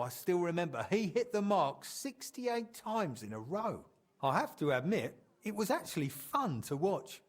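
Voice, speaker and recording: An actor voicing a chatty medieval townsman in a video game, telling colloquial, animated tales of old times with fondness. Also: fairly high pitch, breathy edge, exaggerated voice. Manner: animated, colloquial storyteller acting out quotes. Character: chatty medieval townsman reminiscing fondly about an old drinking friend. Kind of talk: storytelling in video game dialogue.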